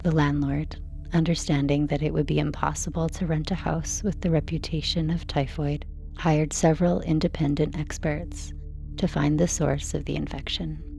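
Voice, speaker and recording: A woman reading a scripted sleep story in a slow, soothing narration style. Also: low-pitched, level tone, creaky, warm tone, soft background music.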